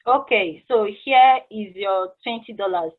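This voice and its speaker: A woman's voice: mid-range voice, unhurried, natural voice. Woman acting an awkward cashier, phone-like sound. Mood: friendly, businesslike. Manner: hesitant, informal, conversational. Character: friendly, awkward, curious female drive-through cashier. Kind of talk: casual table read of a stage play. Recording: muffled, phone-like band-limited call audio.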